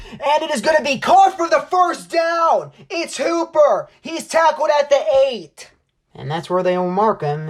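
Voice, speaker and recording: Young man calling football play-by-play. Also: high-pitched. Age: young adult. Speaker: sportscaster, play-by-play announcer. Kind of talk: American football play-by-play commentary.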